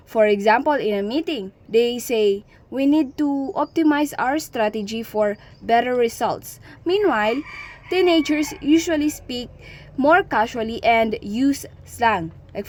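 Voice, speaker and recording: A young Filipino woman talks through a class report in a slightly high voice. Her accent is Filipino, and she often breaks off and restarts words as she goes. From Philippines. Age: young adult. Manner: frequent restarts, mixes in Tagalog phrases. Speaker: student reporter.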